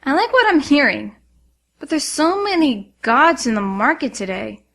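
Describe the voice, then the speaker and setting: A woman plays a savvy young rogue in a comic cartoon. Her voice is high and very lively, with a playful, breezy manner. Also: moderate pace, gravelly, heavily acted. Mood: shrewd curiosity. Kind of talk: animated comic fandub acting.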